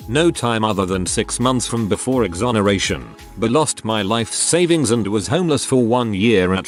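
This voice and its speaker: A man reading online posts aloud as a narrator in an even, steady delivery. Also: lowish voice. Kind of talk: scripted narration. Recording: soft music bed behind voice. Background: faint background music.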